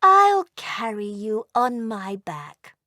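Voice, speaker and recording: A woman voicing a doting, gentle mother dragon in a children's storybook, tender and motherly. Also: a little high, big pitch swings, slow pace, no room sound, studio quality, full character voice. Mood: kindly. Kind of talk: children's storybook read aloud.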